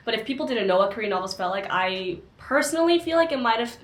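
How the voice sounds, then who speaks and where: A woman's voice: a little high, lively intonation, fast. A young woman co-hosting a casual book discussion, talking in a chatty way with lots of filler words. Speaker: co-host of a book discussion channel. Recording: echoey room.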